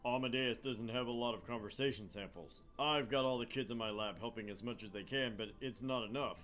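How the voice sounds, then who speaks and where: A man voicing a genial, apologetic professor in an anime game read-aloud, with an emphatic, deliberate delivery. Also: mid-range voice, a bit bright, faint music behind, natural voice. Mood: earnest, apologetic. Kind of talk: visual novel character read-aloud.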